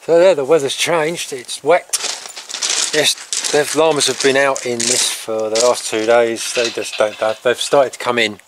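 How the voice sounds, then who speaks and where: A middle-aged man with a medium-pitched voice chats casually as he films himself outdoors. His talk is halting and rambling, like an informal farm vlog. The handheld camera picks up clear background noise. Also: steady tone. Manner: halting, breaking off to talk to animals. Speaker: farm vlogger. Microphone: handheld camera at arm's length.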